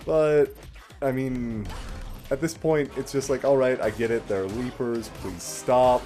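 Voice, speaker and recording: A man with a mellow, soft-edged voice hosts a casual let's play. He explains what he is doing with a dry, wry touch, while music plays faintly behind him. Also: mid-range voice, steady tone, measured pace. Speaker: let's play host playing the game. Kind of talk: casual game commentary.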